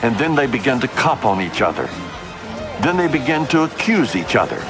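A deep-voiced man holds forth with an authoritative, declaiming manner. His intonation swings widely up and down as he talks. Music plays underneath him. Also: big pitch swings, fairly quick. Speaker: group founder and leader. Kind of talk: archival recorded talk.

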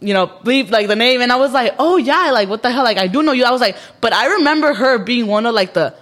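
Young female podcast guest: fast, slightly high voice, candid, profane, animated storyteller. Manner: candid, swears freely, acts out quoted dialogue in storytelling. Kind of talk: casual podcast chat.

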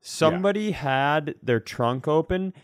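A man's voice: a little high, lively intonation, a little slow, clear, resonant tone, no room sound, clean studio sound. A man co-hosting a comedy podcast in casual conversation, rambling through wry anecdotes and speculation.

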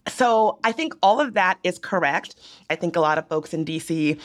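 A young woman from Virginia co-hosting a podcast, chatting casually and giving animated, opinionated takes. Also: lowish voice. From Virginia, US South. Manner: animated, opinionated, drawn-out storytelling. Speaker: podcast co-host. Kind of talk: casual chat.

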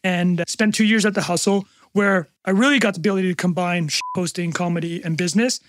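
A man in his thirties with a Canadian accent, a podcast guest telling his story with quick jokes and self-deprecating asides. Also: high-pitched, fairly even intonation, a bit bright, a little hiss or hum. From North America. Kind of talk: casual podcast interview.

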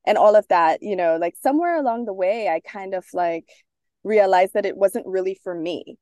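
A woman from Trinidad, a guest author speaking in a relaxed interview, thoughtful and sprinkled with fillers and restarts. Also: mid-range voice, moderate pace, no echo, studio quality. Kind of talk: casual interview talk.

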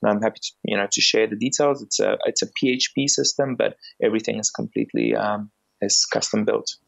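A man, a company founder and podcast guest, explaining his business in a measured way with frequent fillers and restarts. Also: lowish voice, level tone, vocal fry, rough recording. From Bulgaria, raised in South Africa. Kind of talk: podcast interview.

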